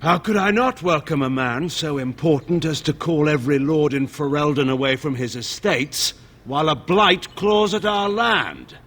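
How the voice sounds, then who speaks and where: An actor playing a proud, scornful regent in a fantasy game, formal and sarcastic. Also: male voice, on the high side, normal speed, a bit bright, slight background noise.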